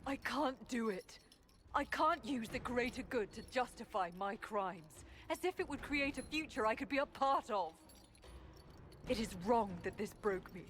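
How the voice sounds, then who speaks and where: A guilt-ridden warden knight who speaks gravely, resigned to her fate. A faint hiss runs under the game audio. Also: on the high side, animated, a little slow, a bit bright. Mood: remorseful. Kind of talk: video game voice acting.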